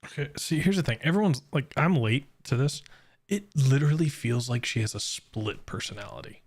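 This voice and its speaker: A man with a mid-pitched voice gives live game commentary. He sounds informal and conversational, musing aloud as a streamer. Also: expressive, normal speed, slightly rough.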